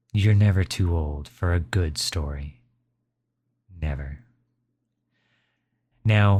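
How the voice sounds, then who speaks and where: A man playing a gentle, reassuring father comforting his child in a soft, soothing ASMR roleplay. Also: low voice. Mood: tender, encouraging. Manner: soft, soothing, unhurried encouragement.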